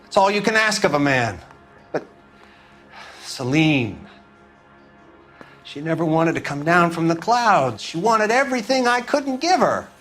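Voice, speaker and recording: Beleaguered husband, slightly high male voice. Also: very animated, unhurried, pauses often, fairly bright tone, soft background music. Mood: bitter regret. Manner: plain-spoken, wounded, defensive. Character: beleaguered working-class husband, a 1940s mechanic. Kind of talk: video game drama acting.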